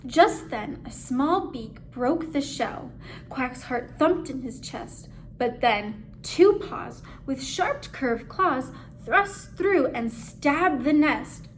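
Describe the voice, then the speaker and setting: A woman with a very high voice reads a children's story aloud. Her delivery is slow, expressive and dramatically phrased. There is faint noise in the background. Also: poor audio. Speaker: story reader. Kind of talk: expressive picture-book read-aloud.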